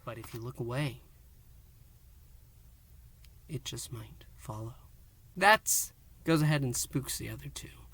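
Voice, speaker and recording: A man hosting a fan review and recap, speaking in short, halting phrases with theatrical emphasis. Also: medium pitch, a bit bright, dry recording. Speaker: host of a fan review show. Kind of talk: review and recap to camera, semi-dramatic storytelling.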